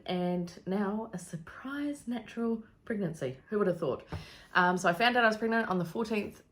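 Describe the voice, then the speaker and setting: A woman's voice: lowish voice, a bit bright. A woman in her thirties from New Zealand with a New Zealand accent, talking casually to camera in a rambling vlog full of ums and likes. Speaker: vlogger.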